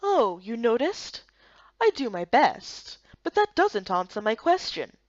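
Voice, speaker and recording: A woman's voice: fairly high pitch, big pitch swings, measured pace, dry recording, muffled recording. A woman voicing a smug, sarcastic male guard leader in a visual novel game, sardonic and condescending. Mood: mocking.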